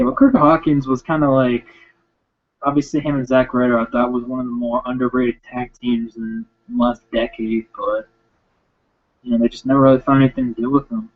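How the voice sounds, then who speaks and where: Guy with a fry-heavy voice rambling sarcastic about wrestlers, phone-y tinny sound. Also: mid-range voice. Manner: sarcastic, rambling, lively impressions. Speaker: wrestling commentator. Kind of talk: casual rant.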